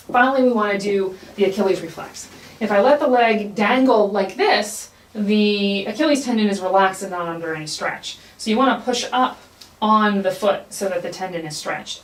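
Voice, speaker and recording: A woman clinical instructor explaining an exam demonstration step by step. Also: mid-range voice. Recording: roomy echo, distant mic.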